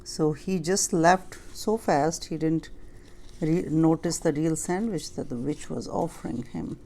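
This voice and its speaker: A woman reads a children's picture book aloud in a fairly low voice with noticeable creak. She goes very slowly and pauses to explain things simply to young listeners. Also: warm tone, slight background noise. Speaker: storyteller reading to children.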